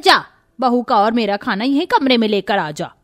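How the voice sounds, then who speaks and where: A greedy, fawning Indian mother-in-law from a cartoon moral tale, acted out by a woman. Her voice is high and swings widely in pitch as she fusses dramatically. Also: sing-song, normal speed. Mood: curt. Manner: dramatic, fussing. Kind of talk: cartoon moral story acting.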